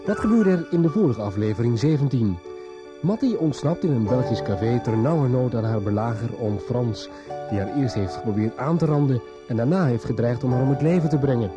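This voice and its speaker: A man's voice: medium pitch, expressive, brisk. A man reading a scripted recap as the narrator of a radio soap. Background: prominent music bed.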